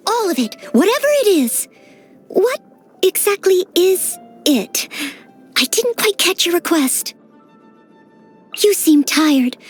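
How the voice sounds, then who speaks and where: A woman's voice: high voice, darkish tone. A woman voicing an earnest, eager-to-please teenage maid knight in a video game, speaking politely and formally. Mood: eager, attentive. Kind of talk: video game character voice lines. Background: background music.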